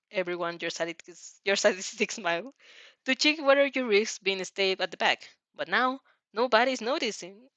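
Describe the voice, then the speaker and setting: A woman's voice: mid-range voice, fairly bright tone, no room sound, highs cut off. A woman reading fan fiction aloud with drama, often stumbling over words and breaking into giggles. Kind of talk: animated dramatic reading of a story with giggly asides.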